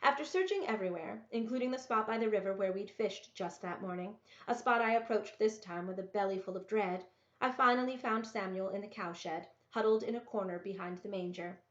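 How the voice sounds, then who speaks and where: A woman reading a story aloud in an expressive storybook narration style. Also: mid-range voice, a little fast. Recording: roomy, echoey, dull lo-fi sound.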